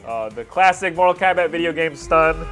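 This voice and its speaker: A man with a fairly high voice gives excited play-by-play reaction commentary. He talks in casual, slangy bursts like an online video host. Music plays behind his voice. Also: normal speed. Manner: casual slang, hyped exclamations.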